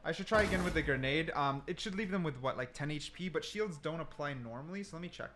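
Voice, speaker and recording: Male gaming creator, animated, slightly high voice, casual think-aloud delivery with emphatic repetition. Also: fast talker, slight background noise. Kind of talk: casual gameplay commentary. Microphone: desk mic, sometimes turns away from it.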